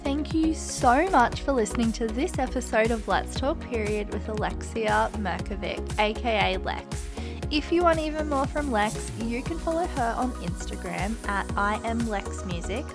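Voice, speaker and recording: A woman's voice: a little high, lively intonation, moderate pace, over music. A woman hosting a podcast in a casual, effusive way. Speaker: podcast host.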